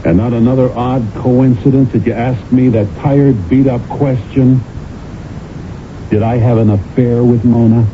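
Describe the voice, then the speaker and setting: An actor playing a stern, accusing husband who interrogates pointedly in a radio mystery drama. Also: male voice, mid-range voice, steady tone, unhurried, close to an everyday voice. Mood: sardonic, probing. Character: stern, accusing husband, a relentless talent agent. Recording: noisy, narrow-band old radio recording.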